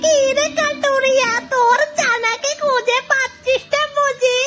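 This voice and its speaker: An actor playing a ravenous, gloating forest ghost in an amateur horror drama, with drawn-out, sing-song menace. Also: very high-pitched, fairly even intonation. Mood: gloating, menacing. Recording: heavy echo and reverb, loud music.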